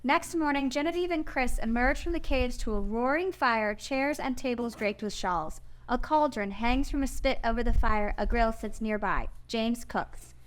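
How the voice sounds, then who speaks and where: A woman with a fairly high-pitched voice narrates. She reads screenplay scene headings and action in a scripted, descriptive style. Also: normal speed, few pauses. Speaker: narrator reading stage directions.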